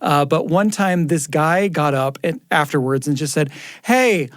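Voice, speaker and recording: A man's voice: fairly high pitch, expressive, unhurried, no echo. An American man co-hosting a podcast, speaking in a casual, reflective way at a close studio microphone. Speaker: podcast producer and co-host. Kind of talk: casual reflective chat and credit reading.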